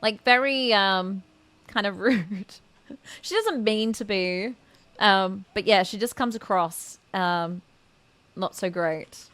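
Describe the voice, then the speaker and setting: Bright-voiced woman streaming a game. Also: medium pitch, slow, dry recording. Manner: affectionate, greets viewers with endearments. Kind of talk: casual chatty live game commentary.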